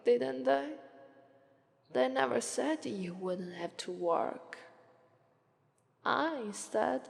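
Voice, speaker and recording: A woman acts out a female demon of sloth with an Italian accent, aloof and coolly persuasive. She speaks very slowly in a deadpan, languid ASMR style, with a creaky edge, and her voice hangs in a long reverb. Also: fairly high pitch, full character voice. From Italy. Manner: slow, deadpan, unhurried, languid. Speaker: voice actress. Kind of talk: ASMR roleplay monologue.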